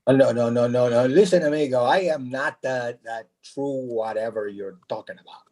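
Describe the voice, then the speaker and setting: A man's voice: high-pitched, big pitch swings, measured pace, a little mellow. An actor playing an easygoing male handyman whom others hail as a messiah, speaking mildly and hesitantly. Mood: flustered. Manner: mild, reluctant, hesitant.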